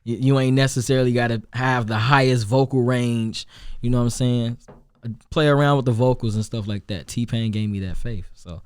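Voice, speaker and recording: A young man from Chicago with a Chicago accent and a deep voice, chatting loosely as a rapper guest, with many restarts and fillers. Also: fairly even intonation, normal speed, a little mellow, smooth. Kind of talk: casual interview chat.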